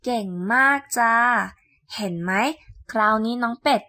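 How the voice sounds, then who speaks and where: A woman playing a caring, gently firm mother duck in a children's storybook, coaxing and motherly in manner. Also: a little high, unhurried, dry recording, clean studio sound. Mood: praising.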